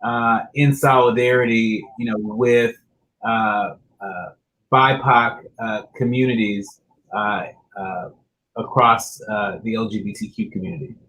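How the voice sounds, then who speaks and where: A man moderating a panel chat who speaks haltingly and fills his pauses with frequent ums and uhs. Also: mid-range voice, fairly bright tone, a little hiss or hum, rough recording. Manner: halting, many uh and um fillers.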